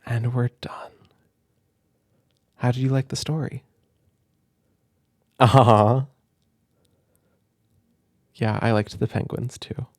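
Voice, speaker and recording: Soft-spoken young man, close and dry. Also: medium pitch, steady tone, takes it slowly, pauses often, no room sound. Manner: gentle, affectionate, simple reassuring speech to a listener. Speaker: ASMR roleplay performer reading aloud as a big brother. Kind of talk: soft intimate ASMR roleplay and storybook reading. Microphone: close microphone in a dry space.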